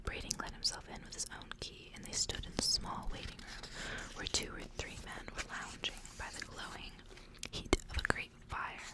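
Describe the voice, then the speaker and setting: Softly whispering woman, ASMR style. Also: moderate pace, rough recording. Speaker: ASMR creator and reader. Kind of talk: whispered ASMR reading of a horror story.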